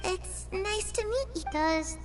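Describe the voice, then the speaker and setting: A voice actor playing a small, gentle spirit child girl in a game cutscene, speaking slowly and airily. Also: very high-pitched. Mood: sweet. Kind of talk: video game cutscene voice acting. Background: game music.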